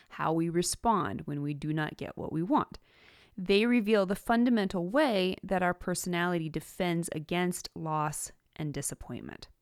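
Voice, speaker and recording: Low-voiced woman narrating instructional tips. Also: no echo, clean studio sound. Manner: measured, enumerating points clearly. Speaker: podcast host giving writing tips.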